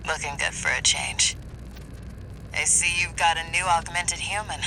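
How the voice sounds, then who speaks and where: A wry male associate in a video game, with a highish, very bright and forceful voice. He talks with easy familiarity, over faint background noise. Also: unhurried, full character voice, low-quality recording.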